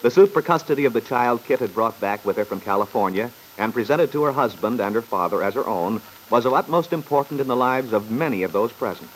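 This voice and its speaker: A male radio narrator sets the scene with formal gravity and drama. His pitch is average, and the vintage recording carries some noise. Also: lively intonation, fast talker, hardly any pauses, rough recording. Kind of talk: old-time radio scripted narration.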